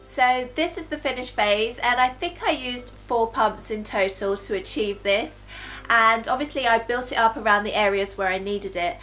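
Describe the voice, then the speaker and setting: A woman's voice: a little high, pushed and bright, slight background noise, rough recording. A woman reviewing makeup in a casual, chatty way, sounding a little nasal from a cold. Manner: chatty, talks while applying makeup. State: nasal from recovering flu. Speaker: beauty vlogger. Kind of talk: casual product review and demo.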